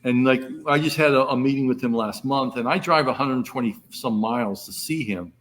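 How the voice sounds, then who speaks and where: Loud, rambling middle-aged man. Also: medium pitch, a little fast. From Mid-Atlantic US. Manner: loud, rambling, repeats words when starting. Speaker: podcast co-host. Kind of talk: casual chat.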